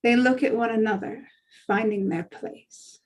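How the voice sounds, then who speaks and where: High, slow-reading woman narrator. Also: fairly even intonation. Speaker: reader of stage directions. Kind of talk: scripted narration at a table read.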